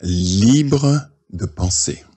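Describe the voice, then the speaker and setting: A man presenting in a casual, playful way, teasing his audience and announcing each item deliberately. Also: deep voice, expressive, dark tone.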